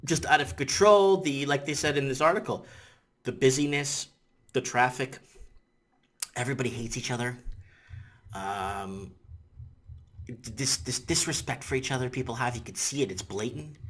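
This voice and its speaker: A man in his thirties whose first language is Portuguese, talking casually to a webcam in a rambling, animated way with lots of repetition and "you know what I'm saying?". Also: medium pitch, moderate pace, pauses often. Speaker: vlogger. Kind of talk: casual opinion vlog to camera. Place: indoors in front of a green-screen city backdrop.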